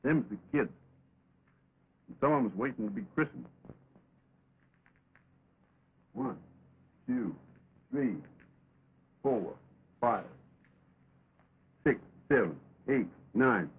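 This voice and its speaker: An actor playing a gentle, teasing young cowhand with a folksy American drawl in an early talkie film. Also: male voice, mid-range voice, lively intonation, takes it slowly, pauses often. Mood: playful. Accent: American, cowboy drawl. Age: young adult. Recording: crackly, tinny 1920s film soundtrack.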